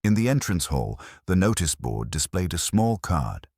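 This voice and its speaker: A man reading scripted literary mystery narration in a measured, dry, wry manner. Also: low voice, very animated, darkish tone, breathy edge, no room sound.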